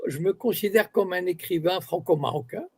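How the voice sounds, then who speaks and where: An older man from Morocco talks as an interview guest, weighing each phrase and correcting himself as he goes. His voice is gravelly and husky, with a dark, mellow tone that sits a little high for a man. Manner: measured, searching for words, self-correcting. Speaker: author and university academic. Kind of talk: interview guest, reflective talk.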